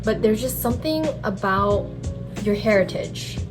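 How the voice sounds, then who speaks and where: A woman's voice: mid-range voice, expressive, unhurried, rough recording. Candid, chatty Japanese-born woman vlogger. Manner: candid, chatty, uses "like" often. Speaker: vlogger, handywoman. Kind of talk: casual reflective monologue. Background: background music.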